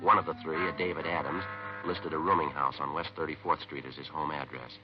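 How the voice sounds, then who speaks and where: An actor playing a terse, dogged police detective in a clipped, deadpan, matter-of-fact 1950s radio drama style. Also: male voice, rumbling low, brisk. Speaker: police detective narrator and lead. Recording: muffled vintage radio recording, music.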